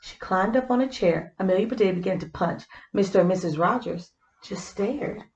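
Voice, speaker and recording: A woman's voice: medium pitch, brisk, a little mellow, reverberant, highs cut off. A woman reading a children's story aloud, with explanatory asides to young listeners. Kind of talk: scripted storybook narration with simple asides.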